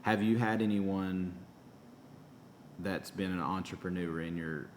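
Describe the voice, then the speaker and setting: A man in his forties hosts a casual business interview, asking questions and backing up his guest with short affirmations. His voice sits very low, and a faint rumble runs underneath. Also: steady tone, a little slow. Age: 40s. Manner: affirming, with frequent short backchannels. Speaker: podcast host.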